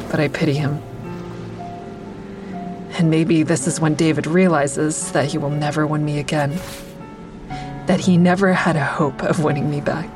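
An actress playing a bitter, defiant woman who narrates a confrontation with her former partner in an audio drama. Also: sits low. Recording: music behind the voice.

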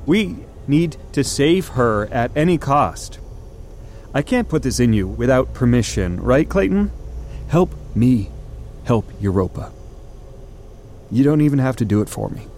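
An actor playing a nervy male official in an audio drama, coaxing and evasive, speaking haltingly and earnestly. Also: mid-range voice, sing-song, darkish tone, a little hiss or hum. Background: music.

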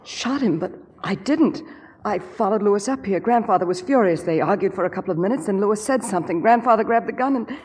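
An actress playing a frightened, bitter young wife in breathy 1940s radio melodrama style. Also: female voice, medium pitch, animated, brisk, a little mellow. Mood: pleading. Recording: echoey 1940s radio recording.

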